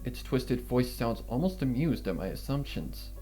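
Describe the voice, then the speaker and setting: A male narrator with a mid-pitched voice reads a dark story in the first person. His delivery is brooding, deliberate and dramatic. Soft music plays behind him. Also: sing-song. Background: guitar music.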